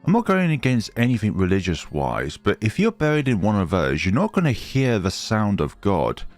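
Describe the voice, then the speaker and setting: A man's voice: on the low side, huge pitch swings, normal speed, soft background music. A man giving casual, informal let's play commentary on a game, sounding incredulous. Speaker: gaming streamer.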